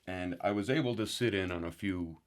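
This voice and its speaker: An actor plays a paranoid uncle on a fringe talk show, speaking in a fairly low voice. He rambles through his claims and then breaks into sudden excitable outbursts. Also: fairly quick, lightly acted. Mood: rambling indignant. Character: rambling paranoid uncle guest, father of sixteen. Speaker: guest. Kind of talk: deadpan parody talk show.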